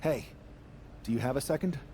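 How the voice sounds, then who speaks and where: A man's voice: mid-range voice, normal speed, dark tone, slight background noise, lightly acted. A man playing an earnest, determined wrestler asking for help in a video game story scene. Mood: friendly. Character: earnest, determined male wrestler seeking allies. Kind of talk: dramatic game dialogue.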